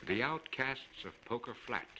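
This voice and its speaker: A man narrates a classic story with a forceful, very bright voice. His pitch moves widely as he shapes each phrase in a measured, theatrical manner. It has the sound of a spoken-word record from the vinyl era. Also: a little hiss or hum, low-quality recording. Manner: measured, expressive, theatrical delivery. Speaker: audiobook narrator. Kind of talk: dramatic literary story reading.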